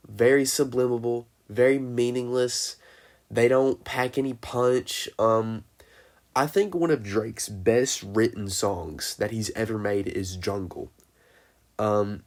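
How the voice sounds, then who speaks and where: Congested young man, low voice. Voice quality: deeper than usual from congestion. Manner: rambling, self-correcting, many fillers. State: sick and congested. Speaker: music reviewer. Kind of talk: casual album review.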